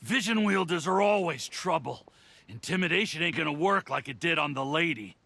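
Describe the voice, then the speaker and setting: Sharp, sneering gangster henchman. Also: a little high, expressive, moderate pace, creaky, full character voice. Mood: grumbling. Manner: slangy tough-guy talk. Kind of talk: English video game voice acting.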